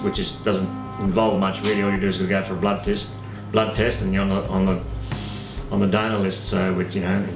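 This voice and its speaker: An older man talking casually in an interview, halting and often repeating his words. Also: fairly low pitch, roomy sound, rough recording. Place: indoor room, plain wall.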